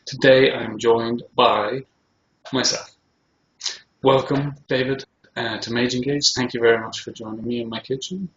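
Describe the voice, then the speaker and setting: A man from Scotland with a British accent, talking casually and haltingly as the host of a video show, recorded in his kitchen. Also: mid-range voice, steady tone. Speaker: host interviewing himself. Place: home kitchen. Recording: roomy, echoey, dull sound with faint noise.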